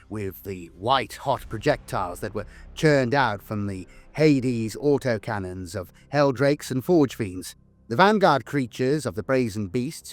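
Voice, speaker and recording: A man's voice: mid-range voice, no room sound. A man delivering scripted lore narration in a grandiose, theatrical storytelling manner. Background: background music.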